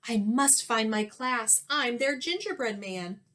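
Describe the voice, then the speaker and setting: A woman's voice: fairly high pitch, a little slow, fairly bright tone. A woman voicing a plucky, determined little gingerbread boy in a children's storybook, with sing-song, exclaiming delivery. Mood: defiant. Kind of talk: children's storybook read-aloud.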